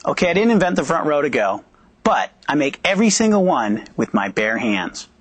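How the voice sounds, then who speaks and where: Man, 30s, product trainer, animated pitch, slightly husky, headset mic, studio. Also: fairly quick, dry recording. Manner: upbeat, jokey, clearly explaining steps. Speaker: product manager presenting a training video. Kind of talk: scripted instructional presentation. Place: studio set with a yellow backdrop. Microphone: headset boom mic.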